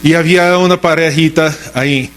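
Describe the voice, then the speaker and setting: Higher-voiced American man preaching. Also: fairly even intonation, moderate pace, fairly bright tone. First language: English. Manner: rhetorical questions answered with repeated, emphatic short phrases. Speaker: pastor preaching. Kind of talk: Sunday sermon with scripture reading and storytelling.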